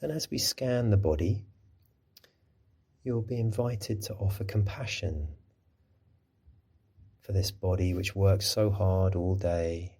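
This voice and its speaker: Low, mellow, husky man guiding meditation. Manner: gentle, unhurried, soothing instruction. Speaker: mindfulness teacher. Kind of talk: guided body scan meditation.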